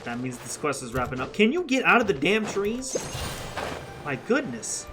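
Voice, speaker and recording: Woman, game streamer, lowish voice, very lively intonation, casual exclamatory reactions, game music clearly behind. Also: normal speed. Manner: informal, exclamatory. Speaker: gameplay streamer.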